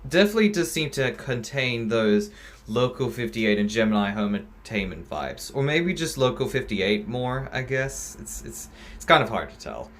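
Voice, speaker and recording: A man's voice: mid-range voice, lively intonation, moderate pace, fairly bright tone. A man hosting a reaction video, talking casually in a hesitant, rambling way about what he watches.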